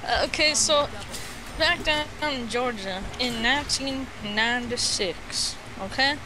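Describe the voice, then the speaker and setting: A playful, halting speaker from the United States telling a rambling tall tale as a guest on an internet voice call. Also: mid-range voice. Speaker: guest storyteller on a gaming voice call. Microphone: Skype call. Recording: clearly noisy internet voice call.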